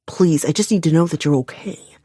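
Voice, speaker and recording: Brief: a woman playing a worried, remorseful man, slightly lowered voice, earnest pleading delivery. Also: brisk, warm tone, breathy edge, dry recording, studio quality.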